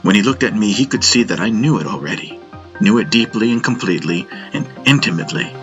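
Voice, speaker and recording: Deep-voiced man narrating over music. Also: expressive, fast talker, a bit bright, muffled recording. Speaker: audiobook narrator. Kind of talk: scripted first-person story narration.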